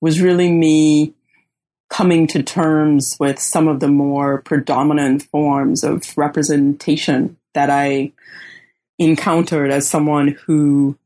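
Slow, low-voiced woman from the Bahamas. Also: level tone, warm tone. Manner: slow and measured, many ums and pauses. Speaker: guest author. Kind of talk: thoughtful academic interview answers.

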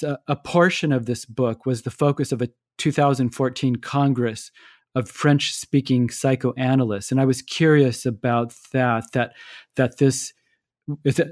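An American man hosts the interview at a middling pitch for a man. He asks his questions casually and loosely, padding them with filler hedges. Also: darkish tone. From United States.

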